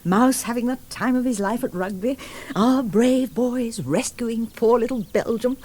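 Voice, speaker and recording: Husky, high-pitched, brisk English wife. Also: female voice, warm tone. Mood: brisk, excited. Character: brisk, dotty, patriotic middle-aged English wife and mother. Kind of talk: period radio drama acting.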